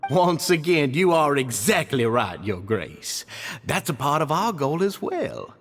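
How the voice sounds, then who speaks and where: A man's voice: fairly high pitch, very animated, a little slow, soft background music. An actor playing an earnest, deferential male club leader in a video game, courteous and eager in manner.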